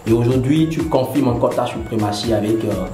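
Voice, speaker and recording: A man from Benin, hosts a casual TV interview. He rambles, stacking fillers and repeated words. His voice echoes in a roomy studio over clearly audible music. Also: medium pitch, a little fast. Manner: rambling, many fillers and stutters. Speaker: TV host.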